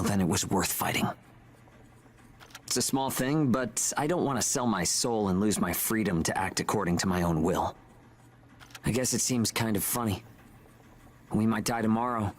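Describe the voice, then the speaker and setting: A man playing a quietly defiant, weary young soldier in a video game, speaking in an earnest, measured way. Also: on the low side, breathy edge. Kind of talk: video game cutscene voice acting. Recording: music behind the voice.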